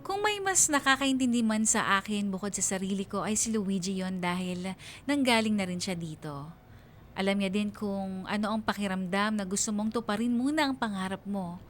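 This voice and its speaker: A wistful young woman, played by an actress, confiding a love story as radio drama narration. Her voice sits at a middle pitch, with faint music underneath. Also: normal speed, lightly acted. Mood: wistful, reflective.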